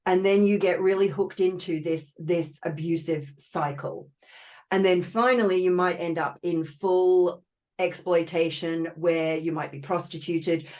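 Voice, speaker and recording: A woman's voice: on the low side, fairly even intonation, measured pace, a little mellow. A woman from Australia with an Australian accent touched by British tones, a podcast guest explaining her experiences earnestly with many fillers and restarts. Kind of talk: casual interview talk.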